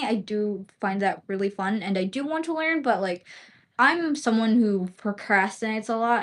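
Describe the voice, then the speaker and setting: Woman streamer from the Philippines, Bisaya speaker, loose rambling chat full of fillers and casual swearing. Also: on the high side. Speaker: livestreamer.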